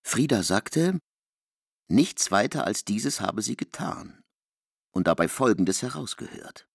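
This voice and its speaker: A man narrating a literary radio play in a gravelly voice. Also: lowish voice, very animated, slow pace, no room sound, clean studio sound, heavily acted.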